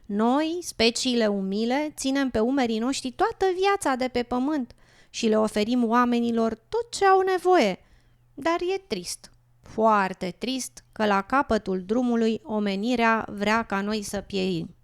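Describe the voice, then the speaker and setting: Woman voicing a wise, higher-pitched frog. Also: animated, clean tone. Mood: sad, solemn. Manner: earnest, persuasive. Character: wise, earnest older frog pleading for nature. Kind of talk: educational radio drama.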